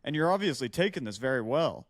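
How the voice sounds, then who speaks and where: Male podcast panelist, medium pitch, frank and advisory, conversational, dry close recording. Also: very animated, quick pace, no echo. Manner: advising, frank, swears casually. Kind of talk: casual podcast discussion.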